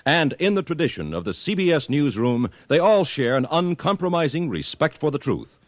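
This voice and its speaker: A man's voice: fairly high pitch, big pitch swings, normal speed, dry recording. An American man reading scripted copy as a polished, formal 1950s radio announcer.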